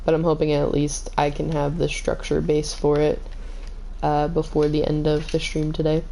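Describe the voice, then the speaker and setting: Low, scratchy-voiced woman streamer. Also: moderate pace. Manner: calm, kept in her lower range. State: slightly hoarse, scratchy voice. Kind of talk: casual gaming stream chat.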